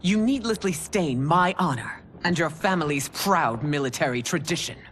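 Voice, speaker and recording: Low-voiced, commanding regal empress. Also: female voice, lively intonation, moderate pace, slight background noise. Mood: stern rebuke. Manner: formal, authoritative. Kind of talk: video game cinematic acting.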